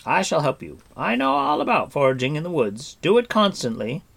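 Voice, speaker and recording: A man's voice: a little high, huge pitch swings, brisk, vocal fry, a bit bright, husky, voice pushed up, full character voice. A man voicing a hearty, boastful wandering bard in a storybook reading. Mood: blustering. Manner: hearty, exclamatory.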